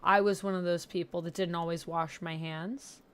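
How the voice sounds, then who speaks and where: A woman's voice: lowish voice, steady tone, normal speed, clear, resonant tone. A young woman, a bit sniffly, chatting casually and telling rambling stories while she colors on a livestream. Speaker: YouTuber host.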